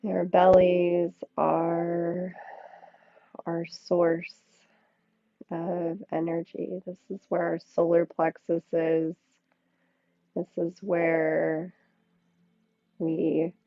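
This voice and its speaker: A woman leading a yoga class with slow, soothing guided instruction, speaking in short phrases with long pauses. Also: fairly low pitch, creaky, dry recording. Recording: thin, phone-like band-limited sound, dry.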